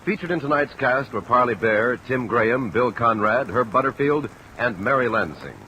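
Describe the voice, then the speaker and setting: A man's voice: medium pitch, expressive, moderate pace, bright, forceful tone, highs cut off, a little hiss or hum. A man doing polished, scripted 1950s radio announcing, with a promotional delivery.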